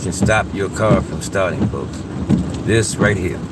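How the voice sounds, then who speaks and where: A man with a very low voice, a little congested from a head cold, talking to his viewers in a folksy, sermon-like way. He sits a fair way from the mic inside a car, and loud rain drums all around him. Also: a little slow, recorded from a distance, very noisy. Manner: folksy, preachy, calls listeners "folks" often. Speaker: vlogger chatting with live viewers. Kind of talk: casual live-stream chat.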